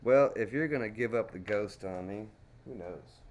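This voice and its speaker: Man, texas accent, lowish voice, folksy painting chatter, faint hum behind. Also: moderate pace. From Dallas, Texas. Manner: folksy, chatty, talks to himself while working. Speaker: crayon painting streamer. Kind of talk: casual painting chat.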